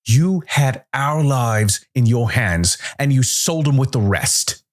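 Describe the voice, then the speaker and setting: A man's voice: mid-range voice, expressive, slow, voice pushed up. A man voicing a bitter, vengeful spacefarer in a science fiction story, raging and accusatory. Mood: bitter rage. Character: bitter, vengeful, enraged ex-crewmate of a space bounty hunter.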